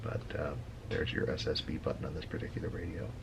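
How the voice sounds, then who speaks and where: A middle-aged man giving an unscripted, casual explainer as a hobbyist, thinking aloud in a halting way as he goes. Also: a bass voice, little pitch movement, vocal fry, a little hiss or hum. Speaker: radio hobbyist presenting a tutorial.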